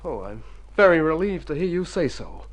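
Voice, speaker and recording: High, swooping, self-pitying young gentleman. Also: big pitch swings, normal speed. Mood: anxious, flippant. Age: young adult. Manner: exclamatory, stammering, theatrical. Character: rich, personable, hypochondriac young gentleman seeking sympathy. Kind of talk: mid-century radio drama acting. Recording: old radio recording with music.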